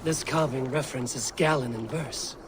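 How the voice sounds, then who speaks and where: Guy voicing a viking warrior on the hunt, serious and deliberate, video game, noisy ambience behind. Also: mid-range voice, close to an everyday voice. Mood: focused, brooding. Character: Viking warrior man investigating a betrayal, focused and deliberate.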